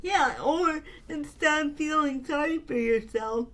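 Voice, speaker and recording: Slow-talking high-voiced woman, slightly raspy. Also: a bit bright. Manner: slow, earnest and affectionate, with frequent fillers. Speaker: podcast co-host. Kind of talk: casual chat.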